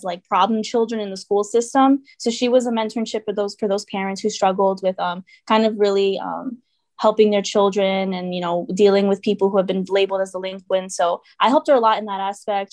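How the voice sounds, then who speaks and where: A woman's voice: mid-range voice, a little mellow, no echo. A young woman from Connecticut, talking as a podcast guest in a chatty way, full of fillers and hedges. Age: young adult. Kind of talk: casual podcast interview.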